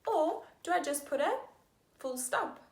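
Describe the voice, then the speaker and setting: Lady teacher talking to little kids, slow and kinda high, lots of praise, echoey room. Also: lively intonation, darkish tone. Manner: encouraging, praising, sounds words out slowly. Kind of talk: slow, patient lesson for young children.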